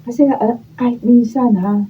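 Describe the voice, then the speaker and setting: A woman's voice: on the high side, steady tone, moderate pace, close to an everyday voice. A woman with a Filipino accent acting a neglected, unhappy wife falling for a friend in a radio drama. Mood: aggrieved, chatty. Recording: dull raw video, distant mic, faint noise.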